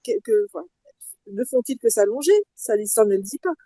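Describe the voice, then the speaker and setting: Hesitant woman with a mellow voice. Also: a little high, lively intonation, a little fast, dry recording. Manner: hesitant, many "euh" fillers, wry. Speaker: participant. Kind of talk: casual live audio-room discussion.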